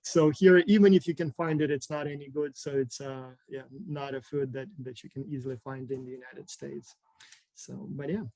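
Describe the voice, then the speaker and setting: Man from Ukraine, halting on a video call. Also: medium pitch, fairly even intonation, vocal fry, dark tone, no room sound, low-quality recording. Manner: halting, many ums and restarts. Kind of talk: casual online group chat.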